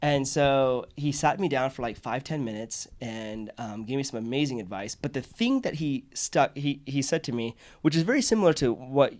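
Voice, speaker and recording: A man hosting a podcast, telling a personal story in casual, animated conversation. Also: medium pitch, moderate pace, hardly any pauses. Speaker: podcast host.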